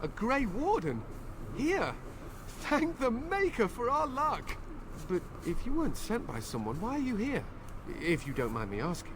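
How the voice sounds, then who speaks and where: A man's voice: extremely high pitch, huge pitch swings, measured pace, noisy background, heavily acted. An actor playing an anxious, bitter middle-aged village man pleading earnestly for his daughter in a video game. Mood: relieved, surprised. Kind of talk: video game dialogue acting.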